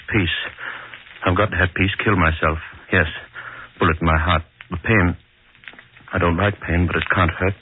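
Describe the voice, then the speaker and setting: A man's voice: sits low, husky. An actor playing a tormented middle-aged hangman, brooding and repeating himself in 1940s radio horror style. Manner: brooding, repetitive, melodramatic. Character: tormented middle-aged hangman, bitter, haunted, guilt-ridden. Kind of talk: 1940s radio horror acting, inner monologue. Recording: muffled vintage 1940s radio recording.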